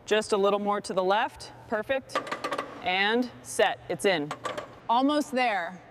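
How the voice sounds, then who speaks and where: A woman's voice: mid-range voice, slow pace, noisy background. A woman restoring machinery, giving short, clipped remarks and instructions as she works. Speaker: machine restorer presenting the work. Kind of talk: short work narration and crew instructions.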